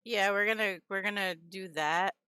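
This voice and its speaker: A woman streamer giving casual, excitable commentary while playing a game. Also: medium pitch, moderate pace. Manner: excitable, expressive. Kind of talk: casual reactive gameplay commentary.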